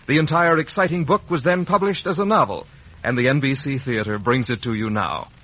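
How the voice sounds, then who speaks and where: A man announcing in emphatic, showy 1950s radio style with a husky voice. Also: medium pitch, a little fast, fairly bright tone. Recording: dull vintage radio broadcast.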